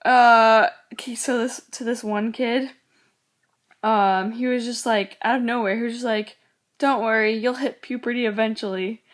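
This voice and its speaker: A woman's voice: a little high, steady tone, moderate pace. Teenage girl rambling, a bit sick. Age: teens. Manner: rambling, many "like"s, quotes others. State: sick, voice going in and out. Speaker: vlogger. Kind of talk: casual chat.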